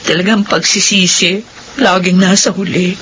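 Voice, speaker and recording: A woman's voice: fairly low pitch, fairly even intonation, normal speed, fairly bright tone, a little hiss or hum, natural voice, rough recording. An actress playing a bitter, despairing young mother with a tearful, emotional delivery in radio melodrama. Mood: bitter regret. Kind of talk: melodramatic radio drama acting.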